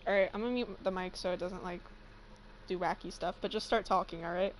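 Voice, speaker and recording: A woman's voice: fairly low pitch, steady tone, fairly quick. A young adult woman chatting casually on a livestream in a blunt, teasing, sweary way. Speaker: livestream co-host and fan fiction reader. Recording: noisy amateur livestream microphone.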